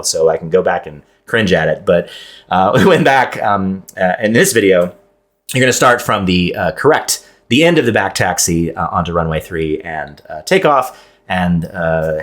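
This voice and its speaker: A man who is a private pilot, narrating his own flight video in a casual, halting, self-deprecating way. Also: deep voice, fairly bright tone.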